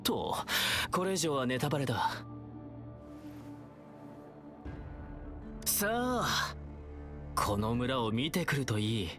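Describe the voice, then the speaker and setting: A young male game villain, acted out by a man, theatrical and teasing with an edge of menace. He draws his words out slowly, and his pitch swings widely up and down. Game music plays behind him. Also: animated, natural voice. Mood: teasing, smug. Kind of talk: video game voice acting.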